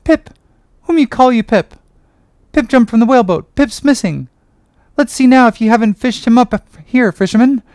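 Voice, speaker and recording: A woman voicing a crazed, pitiful young ship's boy in a dramatic audiobook, excitable, chanting and pleading. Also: on the high side, moderate pace, no room sound, natural voice. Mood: frantic. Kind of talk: dramatic audiobook character reading.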